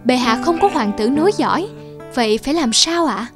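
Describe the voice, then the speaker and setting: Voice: high-pitched, expressive, brisk, music in the background. An actor voicing a cautious, deferential royal courtier in a cartoon fairy tale, respectful and formal. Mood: respectful, concerned.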